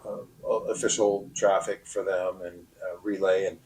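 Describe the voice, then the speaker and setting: Halting, deliberate man being interviewed. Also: sits low, level tone, darkish tone, low-quality recording. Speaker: radio operator being interviewed. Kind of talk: interview explanation and anecdote.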